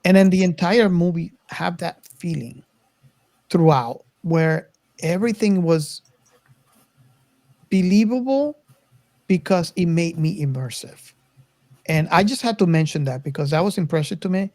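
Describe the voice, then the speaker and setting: A podcast co-host in a casual film discussion. The voice sits at a middle pitch and moves hesitantly, searching for words. The sound is dry, with little room to it. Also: unhurried, pauses often, darkish tone, no echo.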